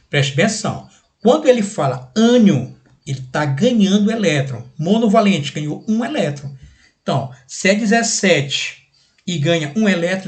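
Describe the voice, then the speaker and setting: A man with a Brazilian accent teaching a recorded lesson, explaining exercises step by step with repeated steps and frequent check-in questions. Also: a little high. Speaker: chemistry teacher.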